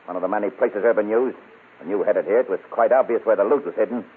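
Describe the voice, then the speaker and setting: Brisk stern police captain, old radio show, talks fast, thin tinny sound. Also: male voice, lowish voice, husky. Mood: stern, triumphant. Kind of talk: 1950s crime radio drama acting. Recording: tinny narrow-band old radio recording.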